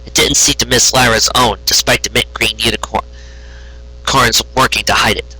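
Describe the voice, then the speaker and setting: Man, story narrator, very sharp forceful tone, raspy edge, occasional stumbles. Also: medium pitch, fairly even intonation, moderate pace, rough recording. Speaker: amateur story reader. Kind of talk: scripted fan fiction narration with character voices.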